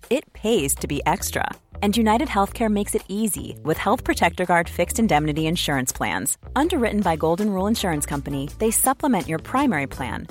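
A woman announcer delivers a scripted ad with very varied, animated intonation. Her voice is mid-pitched, with faint music behind it. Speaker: commercial announcer. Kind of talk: scripted advertising narration.

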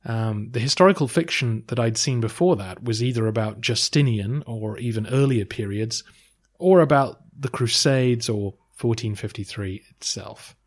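A man hosting a history podcast, reading a measured introduction and then interviewing a guest. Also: mid-range voice. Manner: measured, occasional um and uh. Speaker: podcast host.

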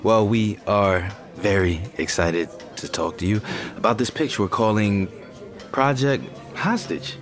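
Man acting an eager, low-voiced series pitcher. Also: a little slow, faint music behind, close to an everyday voice. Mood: eager, placating. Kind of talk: audio fiction drama acting.

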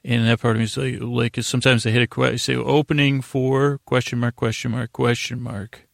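Male sleep-podcast host. Monotone with vocal fry, close dry sound, stop-start tangents and self-interruptions. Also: mid-range voice, dry recording. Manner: meandering, halting, self-interrupting. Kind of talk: rambling bedtime podcast monologue.

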